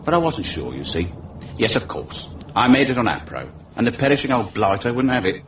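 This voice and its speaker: An actor playing a wily middle-aged London undertaker with a Cockney accent, ingratiating, wordy and deferential, in a radio detective drama. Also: male voice, medium pitch, big pitch swings, a little fast, a bit bright. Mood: sly, confiding. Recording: muffled, hissy radio recording, distant mic.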